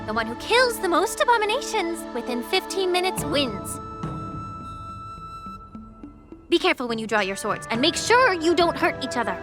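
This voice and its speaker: Poised, high-voiced lady healer. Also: very animated. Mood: gentle instruction. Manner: polite, measured, coaxing. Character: poised, gently sly woman healer and official. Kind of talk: scripted anime-style video game dialogue. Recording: background music under dialogue.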